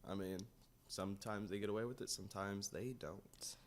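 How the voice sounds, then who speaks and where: Young man with a low voice. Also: steady tone, normal speed, slight background noise. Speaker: podcast co-host. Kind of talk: casual podcast chat.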